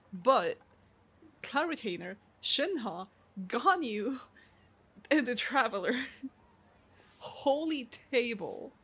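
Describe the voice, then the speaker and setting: A woman's voice: fairly high pitch, fairly bright tone, breathy edge. A young woman streamer giving casual live reactions to a video game, with music playing behind the voice.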